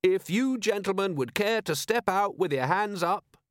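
An earnest police captain, as a male audiobook reader plays him, courteous and unflappable. The voice sits raised in pitch and is recorded dry and close. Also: expressive, normal speed, no echo. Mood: earnest, polite. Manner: very polite, level, earnest. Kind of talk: audiobook character voice.

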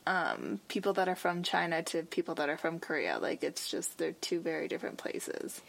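A woman's voice: on the low side, big pitch swings, moderate pace, creaky. A woman co-hosting a podcast in casual chat, upbeat and chatty as she asks questions. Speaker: podcast co-host.

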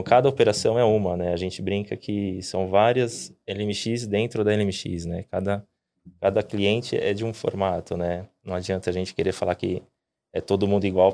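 Unhurried low-voiced man hosting a podcast. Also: darkish tone. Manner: unhurried, frequent tag questions. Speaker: host, company partner. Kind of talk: casual podcast chat.

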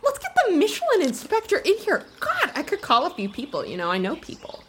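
High-pitched, gushing foodie. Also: female voice, noisy background, exaggerated voice. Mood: overwhelmed delight. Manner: breathless, halting, over-excited gushing. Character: gushing, name-dropping female foodie guest. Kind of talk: comedic audio drama acting.

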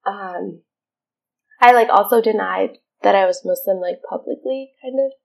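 Young woman, from Syria, reflective, earnest, casual talk, medium pitch. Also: moderate pace, gravelly. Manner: reflective, many "like" fillers, earnest. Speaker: guest. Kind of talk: casual interview chat.

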